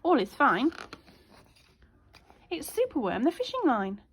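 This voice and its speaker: A woman teacher reading a children's storybook aloud in an expressive, child-directed way. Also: high voice, unhurried. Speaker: primary school teacher.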